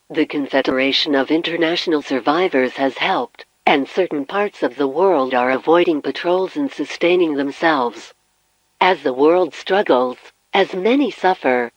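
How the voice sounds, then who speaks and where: A man playing a grave, formal announcer reading a scripted emergency broadcast for survivors of an apocalypse, in a measured delivery. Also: a little high, dry recording, lightly acted. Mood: solemn. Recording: dull, muffled lo-fi broadcast audio.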